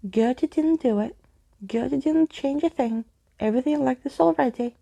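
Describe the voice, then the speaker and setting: A woman's voice: high-pitched, moderate pace, gravelly, dark tone, voice pushed up. A woman voicing a ghostly imaginary girl from a storybook, defensive and blurting in childlike phrases. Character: Wardy Gurdy, panicky ghostly imaginary girl.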